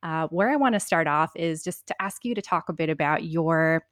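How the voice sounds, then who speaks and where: Woman podcast host with a lowish voice. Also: normal speed, no echo, clean studio sound. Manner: warm gushing intro read, then chatty and relaxed. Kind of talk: scripted intro then casual interview. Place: home, video call.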